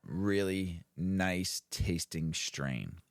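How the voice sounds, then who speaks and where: A man's voice: low-pitched, extremely slow, gravelly, dry recording, clean studio sound. A man co-hosting a casual podcast, chatting in a laid-back, rambling way with lots of fillers. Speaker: podcast co-host.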